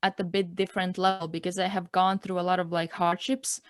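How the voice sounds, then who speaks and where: A woman in her twenties from Latvia, speaking English with a Latvian accent as her second language, telling her own story as an interview guest in a reflective, earnest way, filling pauses with "like" and "um". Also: fairly low pitch, little pitch movement, no room sound, clean studio sound. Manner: reflective, earnest, peppers speech with "like" and "um". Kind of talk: personal story in a casual interview.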